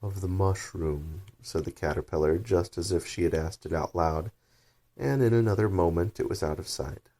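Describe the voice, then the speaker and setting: A male storyteller with a deep, low voice reads a children's bedtime story. It is scripted narration, delivered as a plain reading. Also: normal speed, mellow.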